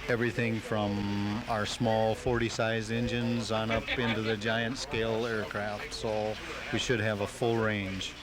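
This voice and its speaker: A man being interviewed on local radio about his club, explaining things in a measured, halting, unscripted way. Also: lowish voice, flat delivery, a loud crowd. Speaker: interviewed member of a model plane flying club. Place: outdoors at a flying field. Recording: noisy old home tape of radio broadcast.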